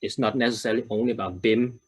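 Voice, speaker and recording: A man's voice: medium pitch, steady tone, fast, husky. Hesitant man speaking at a web conference. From Denmark. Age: 30s. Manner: hesitant, many fillers and restarts. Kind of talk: presentation to a web audience.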